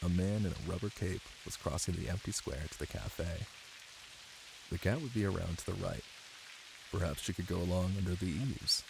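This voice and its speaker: A man playing an affectionate, playful boyfriend in an intimate ASMR roleplay, with a deep, husky voice and soft, teasing delivery. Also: noisy background. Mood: soft storytelling. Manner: soft, unhurried, teasing, with murmured pauses. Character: affectionate, playful, ticklish boyfriend reading aloud to partner. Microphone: close microphone. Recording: close, dry, with rain ambience. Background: rain.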